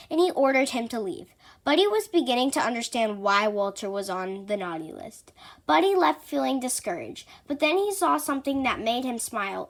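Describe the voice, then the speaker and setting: Female storybook reader, high-pitched, very lively intonation, children's read-aloud narration.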